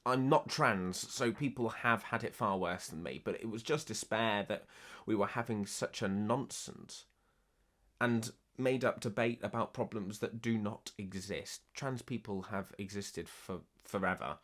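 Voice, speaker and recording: Man, documentary interviewee; lowish voice, blunt, frustrated, emphatic. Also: measured pace, a bit bright.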